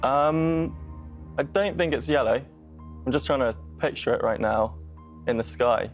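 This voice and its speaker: A man's voice: medium pitch, a little slow, faint music behind. A twenty-year-old man from southern England with an English accent, chatting casually as a student contestant on a quiz show. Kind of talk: casual unscripted chat. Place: TV quiz studio.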